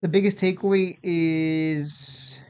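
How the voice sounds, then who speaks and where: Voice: mid-range voice, fairly even intonation, takes it slowly, a little mellow, clear, resonant tone. Casual stock commentator, slightly dull sound. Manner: informal, repeats and restarts phrases. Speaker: host of a stock-trading video channel. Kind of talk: casual unscripted market commentary.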